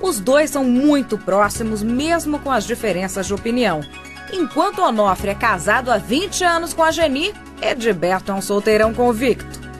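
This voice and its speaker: A woman's voice: fairly high pitch, expressive, normal speed, lightly acted. A woman with a Brazilian accent narrating a radio soap from a script. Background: music.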